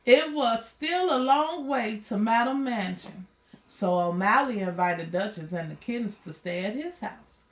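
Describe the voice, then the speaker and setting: Female storybook reader, higher-pitched, slow and measured, in an echoey room. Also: animated. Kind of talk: children's story read-aloud. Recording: roomy, echoey sound.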